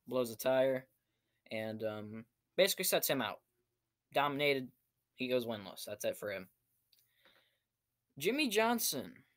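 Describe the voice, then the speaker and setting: A man's voice: mid-range voice, a bit bright. Young man giving a choppy casual recap. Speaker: amateur race reviewer. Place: small cluttered room indoors.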